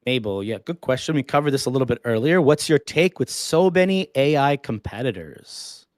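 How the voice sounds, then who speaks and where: A man's voice: medium pitch, animated, normal speed, no echo. Male host, casual chatty delivery, rambling, dead-dry room. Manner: rambling, thinks aloud with fillers. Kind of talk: casual interview chat.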